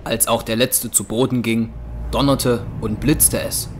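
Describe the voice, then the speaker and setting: A man narrating a scripted dramatic story for an audio drama in short, deliberate, measured phrases. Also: medium pitch, steady tone, darkish tone, slight background noise. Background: music.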